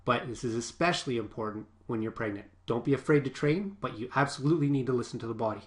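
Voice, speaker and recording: A man who works as a personal trainer gives casual fitness advice with a stuffy, sniffly voice, often checking in with a quick "okay?". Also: mid-range voice. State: stuffy, sniffly from a nasal infection.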